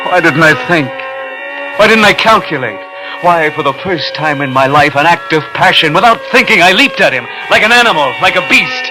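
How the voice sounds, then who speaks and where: An actor playing a tormented, obsessive killer confessing in a halting, brooding, intense way in a 1950s radio suspense drama. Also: male voice, on the high side, lively intonation, close to an everyday voice, low-quality recording. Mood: anguished, frantic. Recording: vintage 1950s radio recording, dramatic music.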